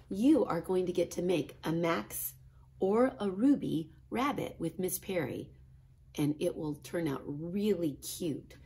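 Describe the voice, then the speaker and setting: A middle-aged woman, a kindergarten teacher, reading a picture book and chatting to children in an expressive style. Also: fairly low pitch, creaky. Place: small classroom, indoors.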